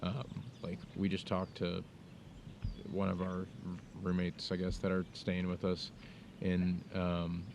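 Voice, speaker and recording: A young man with a very low, even voice talks in a halting, reflective way, circling back and restarting as he thinks. He is recorded outdoors with a clear rumble of noise behind him. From United States. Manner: thoughtful, halting, many fillers and restarts. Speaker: podcast co-host. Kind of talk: casual conversation. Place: outdoors in the mountains.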